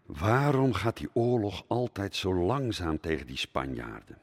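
A man's voice: sits low, expressive, unhurried. Old statesman in a reverberant hall. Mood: worried, admonishing. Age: older. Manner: deferential yet admonishing. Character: old statesman, anxious, insistent adviser to a prince. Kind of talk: Dutch radio drama acting.

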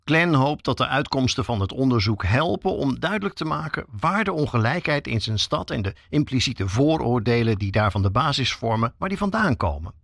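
A male reporter narrates a documentary podcast with a lively, varied melody. His pitch is medium, and he speaks straight to the listener like a storyteller. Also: a little fast, creaky, hardly any pauses, no room sound, studio quality. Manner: addresses listener directly, storytelling.

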